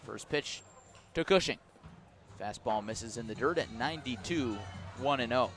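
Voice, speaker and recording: An American man calling a baseball game live on the radio, describing play in measured, short clipped phrases. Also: medium pitch, fairly bright tone, faint music behind. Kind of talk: live radio sports commentary.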